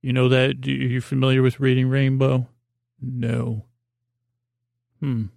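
A male podcast host improvises a silly bedtime monologue in a voice full of creak and fry. He wanders into tangents, repeats himself and talks as if to an imagined listener. The sound is very dry, with no room around the voice. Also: medium pitch, monotone, measured pace, a little mellow, no room sound. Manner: meandering, repetitive, trails off into tangents.

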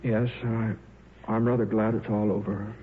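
An actor playing a shy, absent-minded middle-aged scientist in a 1950s radio drama, with a hesitant, stammering delivery. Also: male voice, fairly low pitch, little pitch movement, moderate pace, close to an everyday voice. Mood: weary, resigned. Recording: muffled, noisy old radio broadcast.

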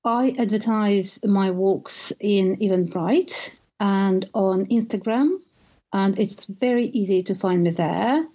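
A woman with a Russian accent talks enthusiastically, stringing anecdotes together and now and then restarting a word. Her voice is mellow and relaxed at a medium pitch. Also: slow pace. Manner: enthusiastic storytelling with occasional restarts. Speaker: guest, perfume tour guide. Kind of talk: conversational interview.

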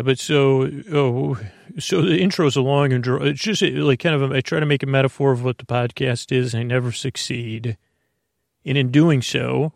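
A man's voice: mid-range voice, gravelly, dry recording, studio quality. A man hosting a bedtime podcast, rambling through a meandering intro and often breaking off mid-thought. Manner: meandering, self-interrupting, stream of consciousness. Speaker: podcast host.

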